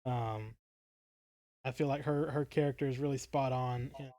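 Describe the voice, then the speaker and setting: A man's voice: medium pitch, fairly even intonation, moderate pace, warm tone, no echo. A man co-hosting a podcast in casual discussion, thoughtful and a little tentative in his phrasing. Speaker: podcast co-host.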